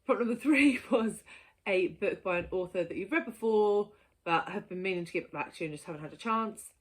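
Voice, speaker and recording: A woman's voice: mid-range voice, fairly quick. A woman in her thirties chatting casually to camera as a book vlogger, in a giggly, self-mocking manner.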